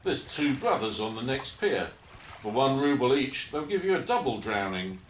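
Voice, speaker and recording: A deadpan dockside policeman voiced by a man, folksy and matter-of-fact, with a pitch that rises and falls a lot. He sounds recorded in a roomy, echoing space, with faint hiss underneath. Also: moderate pace, lightly acted. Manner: deadpan, bargain-minded, folksy. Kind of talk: amateur radio play acting.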